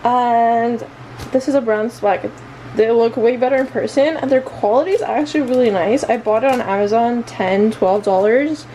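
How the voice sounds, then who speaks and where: A woman's voice: fairly high pitch, normal speed, mellow, a little hiss or hum, rough recording. A woman chatting casually in a vlog, rambling along in a self-deprecating way. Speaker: vlogger.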